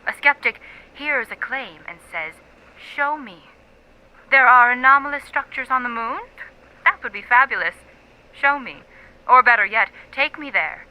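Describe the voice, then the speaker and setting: A woman's voice: high voice, measured pace, pushed and bright, heavily acted, rough recording. An actress playing a self-assured female academic being interviewed in an audio drama, precise, articulate and emphatic. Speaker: interviewed expert.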